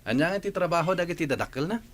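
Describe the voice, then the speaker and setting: A man's voice: on the high side, expressive, very fast, a little hiss or hum. An actor with a Filipino accent playing a teasing, easygoing young male friend in a radio drama. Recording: loud music and crowd behind.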